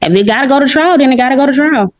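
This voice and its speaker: Woman, defendant, higher pitch, colloquial, persistent questioning, muffled courtroom audio, faint noise. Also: rapid-fire. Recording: dull, noisy courtroom microphone audio.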